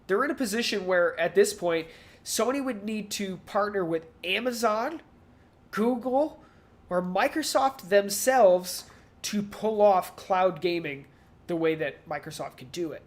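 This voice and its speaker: A man's voice: high voice, animated, unhurried. Rambling man talking games casually. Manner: rambling analysis, acts out companies' positions in mock quotes. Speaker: podcast co-host. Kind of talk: casual video game industry discussion.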